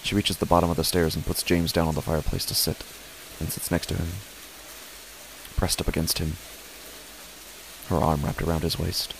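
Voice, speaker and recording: A man playing an uneasy father who narrates his own horror story in a deliberate, measured way with dramatic pauses. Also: low voice, little pitch movement, creaky, slight background noise, poor audio. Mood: grave, uneasy. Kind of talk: scripted first-person horror narration.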